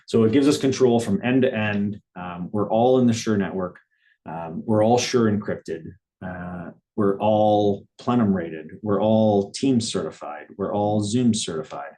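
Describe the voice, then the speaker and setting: A man's voice: lowish voice, mellow. A man presenting a live product demonstration in a webinar, explaining things conversationally and thinking aloud as he goes. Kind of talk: live product demo, casual technical presentation.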